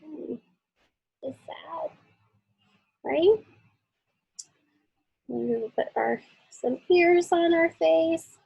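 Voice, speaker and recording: A woman's voice: extremely high pitch, extremely slow, vocal fry, long pauses, dry recording, muffled recording. A woman teacher casually talking children through a drawing step by step, thinking aloud and often checking in with little questions.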